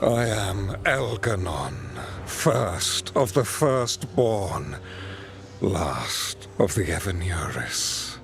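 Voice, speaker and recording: An actor playing a grandiose ancient tyrant god in a fantasy video game, pompous, commanding and regal in measured speech. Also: male voice, low voice, big pitch swings, gravelly, noisy background, exaggerated voice. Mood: grandiose menace. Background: music.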